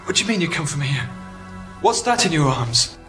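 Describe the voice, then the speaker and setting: A man plays a lonely British astronaut stranded far from home in a radio drama. His high-pitched voice is anxious, halting and full of disbelief, over prominent music. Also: big pitch swings. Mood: startled, incredulous. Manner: agitated, incredulous, halting.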